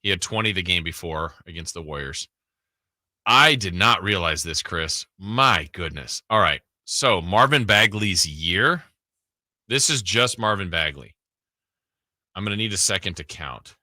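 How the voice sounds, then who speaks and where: An American man hosting a sports radio show in casual banter, animatedly thinking out loud as he goes. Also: deep voice, animated, measured pace, dry recording, studio quality.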